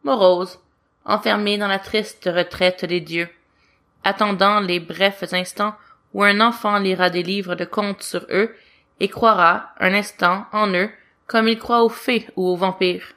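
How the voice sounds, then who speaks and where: A woman reads fiction aloud in a mid-range voice. Her delivery is that of an expressive storyteller, narrating for listeners. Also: mid-range voice, a little slow. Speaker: audiobook reader of fan fiction.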